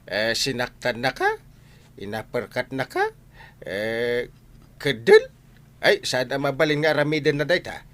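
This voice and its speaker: A man's voice: mid-range voice, a little slow, pauses often, a bit bright, lightly acted. A man with a Filipino accent playing a flustered, kindly village captain who stammers and exclaims in a comedic audio drama. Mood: puzzled concern. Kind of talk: comedic radio drama acting.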